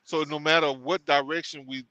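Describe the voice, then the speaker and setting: A man's voice: a little high, steady tone, normal speed, sharp tone, dry recording. A man co-hosting a casual talk show, rambling with many fillers and restarts as he works out his view. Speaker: podcast co-host. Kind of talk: casual discussion.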